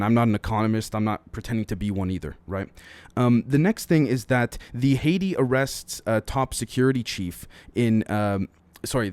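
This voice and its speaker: A man's voice: medium pitch, a little mellow, no echo. A man hosting a solo podcast, running through news items with opinionated, speculative commentary and often speaking directly to his listeners. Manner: frequently addresses listeners directly. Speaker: podcast host.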